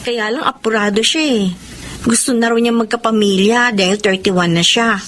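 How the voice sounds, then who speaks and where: Woman acting a patient young Filipina maid, higher-pitched. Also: steady tone, normal speed, fairly bright tone, slight background noise, lightly acted. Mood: firm, hopeful. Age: young adult. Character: dutiful 25-year-old overseas maid, patient and hopeful.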